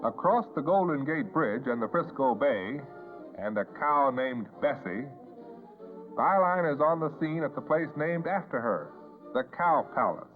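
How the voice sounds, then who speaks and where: A man's voice: medium pitch, animated, a little slow. An American man reading scripted narration as the announcer of a 1950s newsreel. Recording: tinny 1950s newsreel soundtrack, music behind. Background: music.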